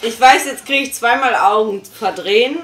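A woman's voice: a little high, normal speed, fairly bright tone. A young woman reviewing an audio drama, talking frankly and emphatically from a sofa in a small living room, recorded by a distant camera. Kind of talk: casual review chat. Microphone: far camera. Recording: roomy room sound, faint music.

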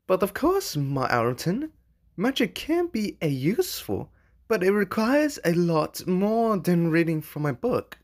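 A man's voice: sits high, sing-song, measured pace, exaggerated voice. A man voicing a doting, theatrical owl demon prince and father in an amateur cartoon comic dub. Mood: doting, instructive.

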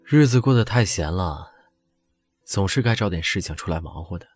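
Cool scheming prince in a radio play, low husky voice, never ruffled. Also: male voice, expressive, moderate pace, darkish tone, dry recording, faint music behind. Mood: cautious, composed. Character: calm, aloof, calculating older prince. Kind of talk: audio drama acting.

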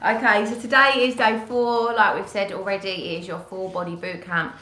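A woman's voice: medium pitch, little pitch movement, moderate pace, sharp tone. A young woman fitness instructor, chatty and upbeat, cueing a workout while moving, recorded by a distant camera in a small echoey studio. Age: young adult. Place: small bright studio room. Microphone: far camera.

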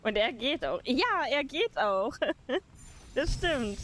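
A woman's voice: high-pitched, big pitch swings, takes it slowly, fairly bright tone, slight background noise. A young woman streaming a game from her room, chatting casually and giggling often. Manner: giggly, laughs often between remarks. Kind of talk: casual gameplay livestream commentary.

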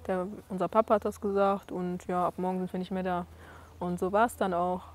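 A young woman in her twenties talking in a documentary interview, thoughtful and hesitant, often pausing to rephrase. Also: fairly low pitch, level tone, a little mellow, echoey room. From Germany. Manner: thoughtful, hesitant, many fillers and restarts. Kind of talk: reflective documentary testimony. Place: small room indoors and street outdoors.

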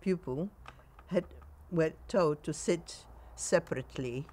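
A woman in her nineties with a Polish accent, recounting memories in a halting way in a sunny garden. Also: low voice, dark tone. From Poland. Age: 90s. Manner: halting, with frequent pauses and restarts. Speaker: interviewee.

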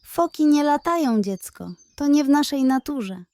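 A woman's voice: sits high, expressive, moderate pace, mellow, no room sound, voice pushed up. A woman voicing an older female seal in a children's story, lazy, amused and doubtful. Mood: amused scoffing.

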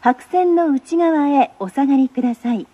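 A woman's voice: high voice, moderate pace, warm tone. A woman making formal, carefully enunciated recorded train platform announcements as a station announcer.

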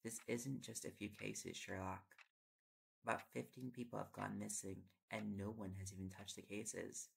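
Casting: man to play young reporter boyfriend, tired and teasing, heavy vocal fry, intimate audio drama, noticeably noisy recording. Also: lowish voice, little pitch movement, normal speed. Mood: absorbed, musing. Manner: playful, coaxing, rambling when on a topic. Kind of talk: intimate audio roleplay, sleep-aid acting.

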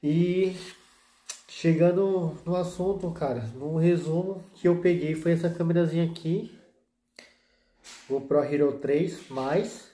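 A man's voice: a little high, fairly even intonation, takes it slowly, mellow. A man in his thirties, casually showing his motovlog gear in a small indoor room, laid-back and full of slang fillers. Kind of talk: casual slangy show-and-tell vlog. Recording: echoey room.

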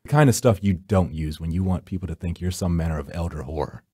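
A man's voice: sits low, fast talker, warm tone, slightly rough, no room sound, studio quality. A man reading first-person horror narration for a visual novel in a brooding, theatrical storytelling manner.

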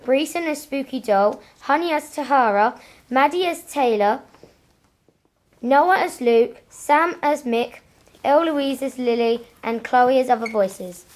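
A woman's voice: high voice, unhurried. A woman announcer reading scripted credits for a radio play.

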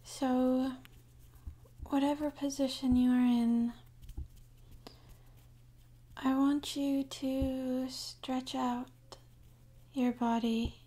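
A woman speaking softly and half-whispering in a gentle, soothing, unhurried way, as an ASMR guide leading a sleep meditation. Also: high voice, little pitch movement. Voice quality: soft, breathy, half-whispered.

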